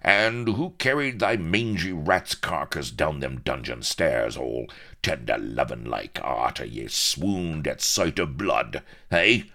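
A man voicing a big, furious, blustering pirate who howls in rough rustic dialect, in a storybook reading. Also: rumbling low, big pitch swings, slow pace, hardly any pauses, fairly bright tone, exaggerated voice. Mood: outraged bluster. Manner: howling, dialect-heavy bluster.

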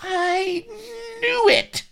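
Man putting on a high, gushing lovestruck woman. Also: extremely slow, vocal fry, a bit bright, heavily acted. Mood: yipping outrage. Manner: gushing, melodramatic. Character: doting, excitable young woman in love.

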